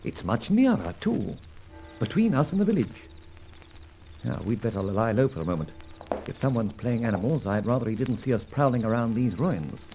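Old radio serial lead: brisk, well-spoken adventurer, lightly gravelly, very animated delivery. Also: male voice, medium pitch. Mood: alert, intrigued. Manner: clipped, well-spoken, old-fashioned slang, giving orders. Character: shrewd, commanding gentleman adventurer, brisk male leader. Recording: muffled, noisy old radio recording.

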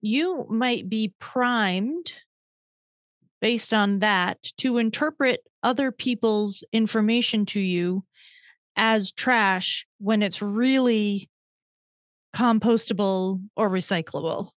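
A woman's voice: mid-range voice, slow, no room sound. A woman hosting a podcast interview, chatty and self-deprecating, thinking aloud in long winding questions. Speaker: podcast host. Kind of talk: conversational interview.

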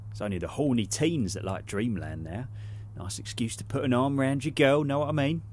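An actor playing a cheeky, cynical young British park worker, colloquial and teasing, in audio drama dialogue. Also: male voice, mid-range voice, brisk, darkish tone, a little hiss or hum, lightly acted. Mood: wry.

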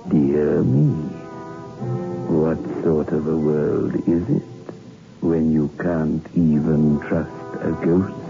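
A tinny vintage radio recording with prominent music behind a macabre horror host. He is deep and extremely slow, sardonic and mock-genteel, savouring each drawn-out phrase. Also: flat delivery, creaky, heavily acted. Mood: mock dismay. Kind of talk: 1960s radio horror hosting.

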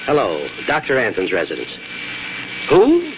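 Wry grumbly small-town doctor dad, 1940s radio drama, animated voice, muffled hissy sound. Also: male voice, mid-range voice, unhurried. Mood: excited, impatient. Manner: teasing, folksy, dry. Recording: dull, noisy 1940s radio recording.

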